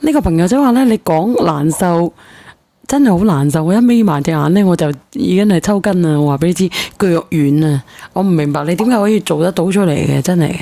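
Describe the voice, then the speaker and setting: Woman, slightly low pitch, call-in radio host, probing, exclamatory, repetitive and insistent. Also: animated, a little fast, warm tone. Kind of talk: emotional radio phone-in talk.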